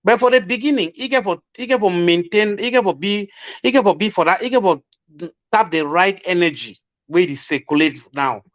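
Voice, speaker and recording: A man with a Cameroonian Pidgin English accent, arguing forcefully as a guest in a panel discussion. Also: high-pitched, animated, fairly quick, dull audio.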